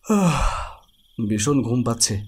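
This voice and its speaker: A man's voice: medium pitch, big pitch swings, slow, darkish tone. A man voicing an anxious young man in a horror cartoon, with colloquial, grumbling speech. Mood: tired, relieved. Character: anxious young man searching for missing friend. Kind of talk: Bengali cartoon horror story voice acting.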